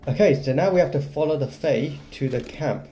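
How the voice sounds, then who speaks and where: A man's voice: a little high, brisk, dark tone, a little hiss or hum, poor audio. A man giving casual commentary as he plays through a video game. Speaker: gaming video host.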